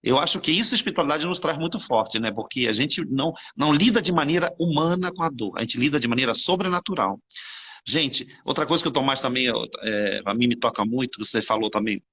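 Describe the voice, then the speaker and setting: Fervent fast-talking husky Brazilian man. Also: mid-range voice, expressive, pushed and bright. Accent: Brazilian Portuguese. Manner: fervent, preachy, addresses viewers as "gente". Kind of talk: animated spiritual conversation.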